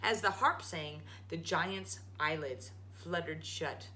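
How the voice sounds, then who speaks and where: A woman storyteller reading a children's story aloud in a dramatic, emphatic, expressive way. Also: deep voice, takes it slowly, slight background noise. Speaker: storyteller, show host.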